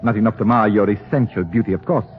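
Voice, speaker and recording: An actor playing a cold, condescending villainous scientist in a 1940s radio drama, mock-polite and sinister. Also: male voice, on the low side, fast talker, lightly acted. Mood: coldly menacing. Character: cold, sinister male mad-scientist professor. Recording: muffled, hissy old radio recording.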